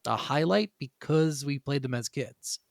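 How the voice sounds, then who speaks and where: A man's voice: medium pitch, lively intonation, a little slow, gravelly, dry recording, clean studio sound. A young man co-hosting a gaming podcast, chatting casually in an opinionated, sweary way. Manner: opinionated, profanity-laced. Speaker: podcast co-host.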